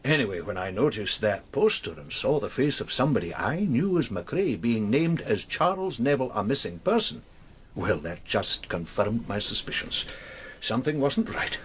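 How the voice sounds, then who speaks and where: A man's voice: mid-range voice, sing-song, moderate pace, slight background noise, natural voice. An actor playing a meticulous, wordy Scottish man who is helping police in a radio crime drama, speaking a little hesitantly and with some self-satisfaction. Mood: quietly pleased. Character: fussy, self-satisfied male amateur investigator helping police.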